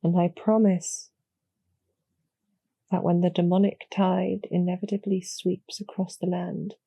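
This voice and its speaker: A woman playing a calm, courteous female demon general in a roleplay audio, speaking softly with measured, gently formal persuasion and a husky, creaky edge. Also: fairly low pitch, dry recording, full character voice. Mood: solemn, coaxing. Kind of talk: slow, soothing scripted roleplay audio for sleep.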